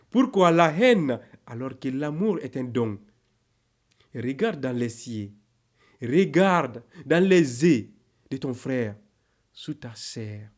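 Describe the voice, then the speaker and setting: A man reciting spiritual poetry in a solemn, declamatory way, with deliberate pauses between phrases. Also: on the high side, expressive. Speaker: poem narrator.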